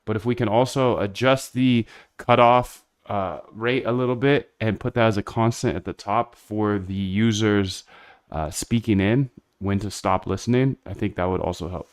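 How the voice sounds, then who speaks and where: A man with a fairly low voice talks as a developer at work. He is informal and casual, thinking aloud as he goes. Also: moderate pace, clear, resonant tone. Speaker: developer hosting a coding video. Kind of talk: casual talk while working and testing.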